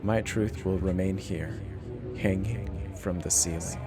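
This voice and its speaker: Low-voiced male narrator as a despairing young man, subdued and solemn, horror story reading, loud music underneath. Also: steady tone, a little slow. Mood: despairing, resigned. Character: heartbroken, despairing young man reading his suicide note.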